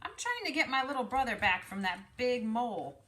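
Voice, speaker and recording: A woman's voice: on the high side, very animated, moderate pace, sharp tone. A woman voicing an earnest, polite young boy in a children's storybook, urgent about his little brother.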